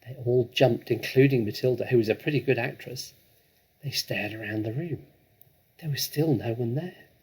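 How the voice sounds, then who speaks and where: A man reading a children's storybook aloud as an expressive, dramatic narrator. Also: medium pitch, normal speed, slightly rough, rough recording.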